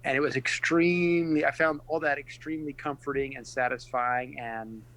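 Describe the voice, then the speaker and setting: A middle-aged man from California and Texas, a pastor speaking earnestly and reflectively in a casual podcast interview, pausing often and restarting his sentences. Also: medium pitch, fairly even intonation, fairly bright tone, poor audio. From California and Texas, USA.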